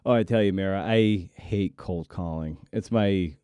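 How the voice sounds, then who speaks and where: A man's voice: deep voice, a little slow, warm tone, smooth, no echo. A man hosting an interview podcast, chatting casually and emphatically, repeating words for stress and poking fun at himself.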